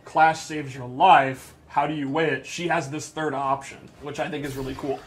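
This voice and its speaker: A man's voice: on the high side, level tone, normal speed, slight background noise. A man presenting gameplay in casual, unscripted commentary, explaining things with enthusiasm and emphasis.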